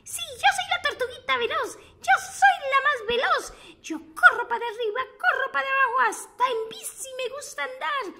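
Squeaky, boastful baby turtle. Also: female voice, normal speed, vocal fry, few pauses, very noisy. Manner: squeaky, bouncy, giggly and eager. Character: tiny, boastful, hyper little girl turtle.

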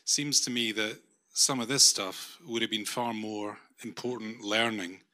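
A middle-aged man speaks into a handheld microphone in a lecture room. His voice is lowish and very bright. He gives a formal vote of thanks, stopping often with ums and restarts. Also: steady tone, unhurried. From not Australia. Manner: hesitant, many ums and restarts. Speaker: university vice-chancellor.

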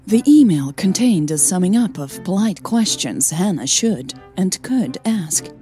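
A woman's voice: lowish voice, dark tone. A woman narrating scripted audio fiction as a storyteller.

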